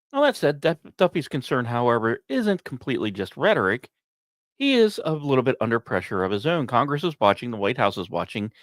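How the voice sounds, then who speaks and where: A man hosting a podcast, reading scripted narration in a measured, dramatic storytelling way with occasional conversational asides. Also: medium pitch, no echo. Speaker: podcast host.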